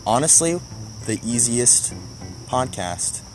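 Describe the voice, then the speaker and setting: A man's voice: mid-range voice, animated, takes it slowly, music in the background. A man with a mid-range voice co-hosts a comedy podcast. He talks in a loose, conversational way, tossing out jokes as he goes. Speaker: podcast co-host. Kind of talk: casual comedic chat.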